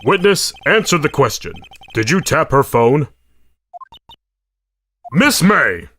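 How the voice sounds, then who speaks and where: A man's voice: a little high, big pitch swings, slow, fairly bright tone, slight background noise, exaggerated voice. A man voicing a stern but fussy older male judge, formal and authoritative. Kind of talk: fan dub of game dialogue.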